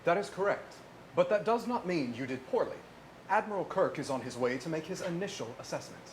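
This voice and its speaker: A man playing a formal, logical alien science officer with deadpan delivery in a stage parody. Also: fairly high pitch, a little fast, natural voice. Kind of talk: comic stage parody acting. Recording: noisy live stage room.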